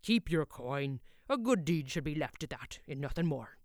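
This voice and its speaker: Woman playing a loud gruff old blacksmith. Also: sits low, sing-song, normal speed, no echo, clean studio sound. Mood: wry. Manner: loud, barking, rustic old-fashioned dialect. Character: gruff older male blacksmith, brusque.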